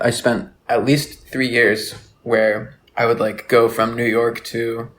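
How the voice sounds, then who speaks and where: A man's voice: medium pitch, level tone, slow pace, a bit bright, a little hiss or hum. An American man from Texas talking casually as a podcast guest, in a rambling, slangy way full of filler words. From Texas, United States. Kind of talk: casual chat.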